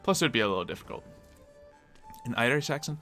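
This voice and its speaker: A man's voice: mid-range voice, lively intonation, fast, bright, forceful tone, faint music behind. A man with a slight Philadelphia accent chatting casually on a live stream while he plays, musing aloud and laughing easily.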